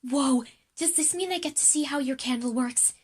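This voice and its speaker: Woman playing a high-voiced, polite schoolchild. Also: moderate pace, darkish tone, close to an everyday voice. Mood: eager, friendly. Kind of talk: children's audio drama acting.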